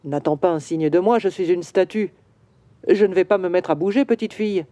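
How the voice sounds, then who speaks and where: A woman voicing a grieving male bronze statue in a children's audio story, slow and wistful. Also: on the low side, dark tone, no echo, natural voice. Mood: sorrowful.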